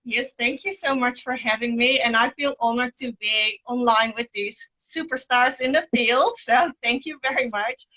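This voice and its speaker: A woman from the Netherlands speaks with a clear Dutch accent, her voice set a little high. Her tone is bright and forward. She introduces herself earnestly over a video call, with frequent "uh" fillers. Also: normal speed, hardly any pauses, rough recording. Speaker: nutritionist panel guest. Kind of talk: online panel conversation.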